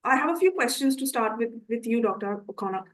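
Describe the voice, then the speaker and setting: A woman with a croaky voice, hosting a formal community interview and introducing her guests politely. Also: a little high, animated, fast. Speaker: interview host.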